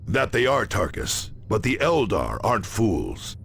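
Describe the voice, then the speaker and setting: Authoritative briefing officer for a game, low and expressive, measured, grave, slight ambience behind. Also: male voice, gravelly, slight background noise, exaggerated voice. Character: grave, authoritative male military commanding officer. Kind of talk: scripted game mission briefing.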